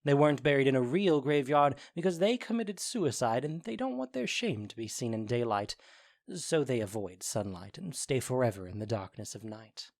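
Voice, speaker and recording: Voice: mid-range voice, lively intonation, normal speed, natural voice. An actor playing a mischievous goblin sprite in an audio drama, theatrical and playful in delivery. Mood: eerie, urgent. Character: Puck, mischievous goblin sprite serving the fairy lord. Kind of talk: audio drama acting of modernized Shakespeare.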